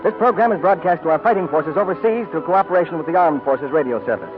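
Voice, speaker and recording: A man's voice: on the high side, lively intonation, fast talker. An American-accented man reading scripted announcements as a 1940s radio announcer on an old broadcast recording. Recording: tinny 1940s radio, music behind.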